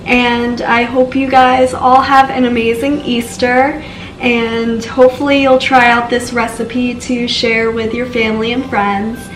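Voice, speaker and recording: A woman's voice: on the high side, flat delivery, unhurried, slight background noise. A young woman with a stuffy, congested voice, chatting casually through a baking tutorial as the channel's host. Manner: chatty, lots of "so" and "just". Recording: roomy, from a distance, faint music.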